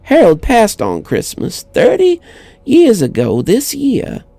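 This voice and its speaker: A frail old widow played by a male narrator speaks in a very creaky, soft-toned voice that rises and dips widely. She is lonely and grieving, reminiscing haltingly, as in an audio storybook. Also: high voice, huge pitch swings, no echo, pitched above the performer's own voice, full character voice. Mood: sad, reminiscing. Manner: halting, wistful delivery. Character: lonely grieving elderly widow, wistful neighbour.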